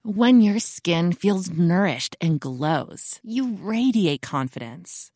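A woman's voice: fairly low pitch, dry recording, studio quality. A woman reading a scripted beauty product advert as a voice-over. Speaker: commercial voice-over.

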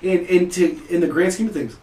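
A man's voice: sits high, a little fast, distant mic. A man in his thirties from Puerto Rico, a podcast guest telling stories in a loose, profane, laughing chat. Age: 30s. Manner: animated, profane, laughs often. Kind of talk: casual storytelling chat. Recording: roomy, off-mic with faint hiss.

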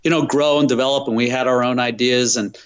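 Male company executive, middle-aged. Medium pitch, conversational interview answers, somewhat dull audio. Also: fairly even intonation, fast, clear, resonant tone. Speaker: interview guest, company president.

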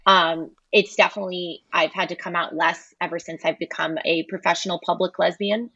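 A woman's voice: on the low side, fairly even intonation, fairly quick, sharp tone, slightly rough, no echo, highs cut off. An American woman filmmaker, a podcast guest, talking in a casual, playful way with many fillers. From United States. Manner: chatty, playful, many ums. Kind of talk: casual chat.

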